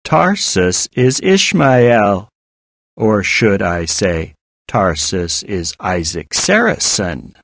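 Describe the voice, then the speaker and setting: Man voicing a taunting female machine, hard robotic tone, very slow, mock-sweet malice, audiobook villain, close dry sound. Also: fairly low pitch, sing-song, vocal fry, fairly bright tone, no room sound, heavily acted. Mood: gloating. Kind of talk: audiobook character voice.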